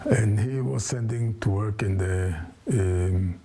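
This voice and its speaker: An elderly man in his seventies tells his story in an interview, in halting, English. His voice is low and mellow, and he takes his time. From Israel and France. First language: not English. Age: 70s. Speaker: interviewee recounting family history.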